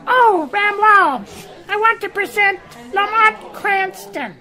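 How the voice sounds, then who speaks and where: Actress as a bright, high, gushing hostess. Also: female voice, slow pace, heavily acted. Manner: gushing, effusive. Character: gushing society hostess woman. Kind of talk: 1930s radio drama reenactment.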